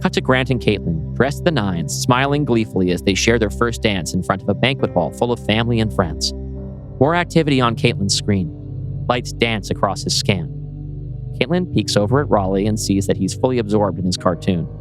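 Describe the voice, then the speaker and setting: A man with a lowish voice reads a screenplay as narrator, setting scenes in a dramatic storytelling style. Music plays clearly behind him throughout. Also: lively intonation, a little fast. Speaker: writer and narrator presenting a script.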